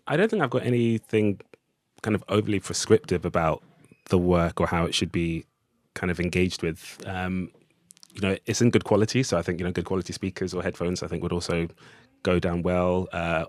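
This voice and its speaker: A man talks casually as an interview guest. His voice is low, and he feels his way hesitantly through his phrases. The sound is dry and free of room echo. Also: normal speed. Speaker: podcast guest, playwright.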